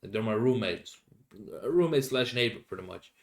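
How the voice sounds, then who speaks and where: A young man from Finland with a Finnish accent, speaking English as a second language, rambling casually as a solo podcast host and often pausing to search for words. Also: mid-range voice, expressive. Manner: halting, many fillers and restarts, searching for English words.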